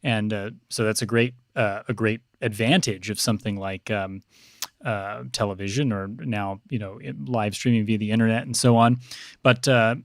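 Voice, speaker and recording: A man's voice: on the low side, steady tone, moderate pace, no room sound, clean studio sound. A man talking as a podcast guest in a thoughtful, hesitant, conversational manner.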